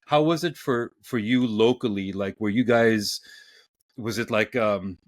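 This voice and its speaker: A man in his forties hosting a casual podcast interview, talking in halting bursts with many restarts and repeated words. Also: on the low side, dry recording, clean studio sound. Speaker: podcast host.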